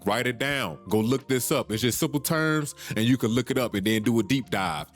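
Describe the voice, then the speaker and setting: A man hosts a solo podcast at a mid pitch. He presses his points in an urgent, preachy way, repeats himself and swears casually. Faint music plays behind him. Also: brisk. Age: 30s. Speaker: podcast host.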